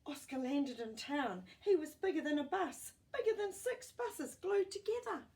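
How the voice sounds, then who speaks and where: A woman reading a picture book aloud to children, expressive and exclamatory, with chatty asides to her young listeners. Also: sits high, normal speed, recorded from a distance. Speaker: children's storyteller.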